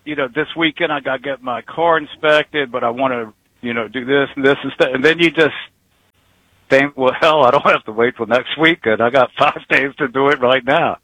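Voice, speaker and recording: A man's voice: mid-range voice, moderate pace, bright, forceful tone, dry recording, poor audio. Rambling folksy man in his sixties. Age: 60s. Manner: rambling, folksy, chuckles between thoughts. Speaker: retired truck driver caller. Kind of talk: casual phone-in chat.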